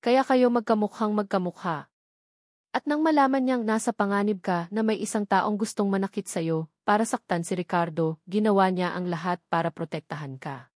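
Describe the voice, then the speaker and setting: A woman's voice: medium pitch, moderate pace, darkish tone, no echo, clean studio sound, lightly acted. A woman voicing a frail elderly former nanny in a story, sorrowful and confiding, with a weak voice. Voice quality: weak, breaking voice.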